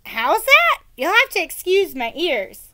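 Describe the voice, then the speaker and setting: A woman voicing a sharp, suspicious village mother from a children's storybook, snappy, wary and theatrical. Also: very high-pitched, huge pitch swings, unhurried, pitched above the performer's own voice, exaggerated voice. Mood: puzzled. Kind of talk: children's storybook read-aloud character voice.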